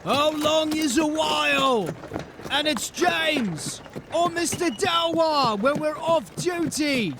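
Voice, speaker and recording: A man playing a brisk, dryly authoritative police sergeant in a fantasy audio drama, speaking in a clipped, formal, wry way. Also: extremely high pitch, animated, noisy background, exaggerated voice. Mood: wary, exasperated.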